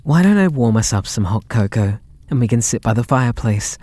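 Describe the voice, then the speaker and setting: An actor with a British accent playing a possessive, lovestruck kidnapper in an ASMR roleplay, sweet and doting in a theatrical, intimate way. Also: male voice, medium pitch, animated, brisk, mellow, no echo, close to an everyday voice. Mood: adoring, intimate. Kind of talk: ASMR roleplay audio drama.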